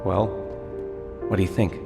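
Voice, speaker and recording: A man playing a gentle, understated father. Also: a little slow, over loud music, close to an everyday voice. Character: father, gentle and quietly hopeful. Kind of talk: game cutscene acting. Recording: long hall reverb.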